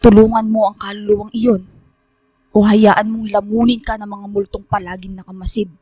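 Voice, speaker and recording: An actress playing a grave, commanding professor and mystical mentor, speaking deliberately and portentously in a radio drama. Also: female voice, medium pitch, flat delivery, warm tone, soft background music, close to an everyday voice. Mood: solemn, firm.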